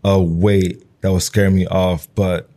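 This is a man born in Nigeria, Igbo his first language, in his thirties, speaking as an interview guest. His voice sits low and warm, and he circles his thoughts with plenty of "like" and "you know.". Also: flat delivery, slow pace. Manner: reflective, loose, full of "like" and "you know". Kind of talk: conversational interview.

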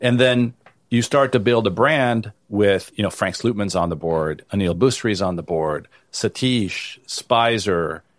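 A man's voice: lowish voice, unhurried, no echo. A man born in Italy, speaking as a guest investor in an interview, casual and full of restarts. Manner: casual, frequent restarts and "you know". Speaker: venture capital investor, guest. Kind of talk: conversational interview answers.

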